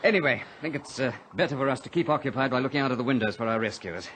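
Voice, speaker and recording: An actor playing a calm, reassuring pilot stranded at sea, in a measured old radio adventure style. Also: male voice, mid-range voice, close to an everyday voice. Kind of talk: 1940s-50s radio adventure acting. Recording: noisy vintage radio drama recording.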